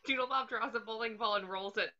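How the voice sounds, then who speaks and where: A woman's voice: on the high side, fast talker, sharp tone. A woman narrator reading stage directions from a script, recorded with slightly dull, lo-fi sound.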